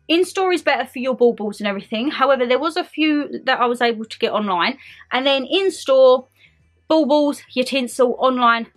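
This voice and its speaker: Bubbly young woman with a congested voice. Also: sits high. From United Kingdom. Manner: bubbly, chatty, talks straight to viewers. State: congested, slightly hoarse with a cold. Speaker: haul vlogger. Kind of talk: casual chatty haul vlog. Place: bedroom decorated for Christmas, indoors.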